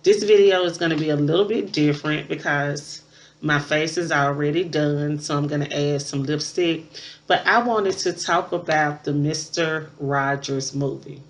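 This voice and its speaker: A woman's voice: low-pitched, normal speed, a little hiss or hum. Casual, emphatic woman in her late thirties. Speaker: vlogger reviewing a movie. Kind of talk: casual unscripted chat to camera.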